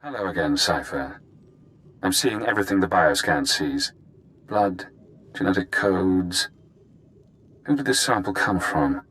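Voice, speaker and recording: A man voicing a cunning, analytical former spy in a video game, speaking in measured, clipped, clinical phrases. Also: low voice, animated, a bit bright, a little hiss or hum. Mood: cool, calculating. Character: cunning imprisoned former spy, cool, analytical, morbidly admiring.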